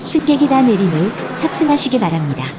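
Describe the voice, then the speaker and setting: Synthetic lady doing polite train announcements, high lilting voice, loud train noise behind. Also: sing-song, brisk. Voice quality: synthetic text-to-speech voice. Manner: formal, polite. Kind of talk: scripted automated station announcement. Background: train running and rail noise.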